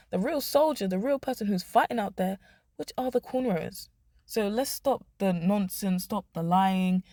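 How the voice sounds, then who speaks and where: A young woman vlogger, hoarse, giving blunt, emphatic commentary to the camera. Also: mid-range voice, dark tone, no echo, studio quality. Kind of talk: opinionated talking-head commentary.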